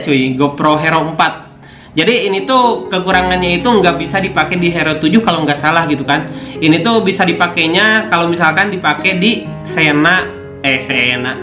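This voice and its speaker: A young man casually chatting to camera about his motorbike vlogging gear, full of slangy fillers. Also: sits high. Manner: slangy, keeps saying "cuy", many fillers. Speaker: motovlogger YouTuber. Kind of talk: casual unboxing chat to camera. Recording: echoey room with guitar music.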